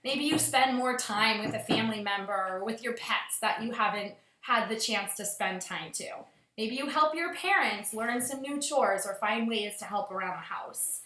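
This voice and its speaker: A woman with a fairly high voice speaks to young children like a teacher at story time. Her delivery is expressive and clearly addressed to little listeners. The room adds a noticeable echo around her words. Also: normal speed. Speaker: teacher reading aloud to children. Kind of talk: expressive picture-book read-aloud.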